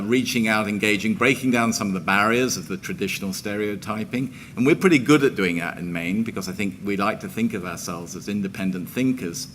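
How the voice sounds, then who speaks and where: A man speaking on a panel in a measured, formal way, with hedging fillers. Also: lowish voice, fairly bright tone, slight background noise. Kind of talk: panel discussion.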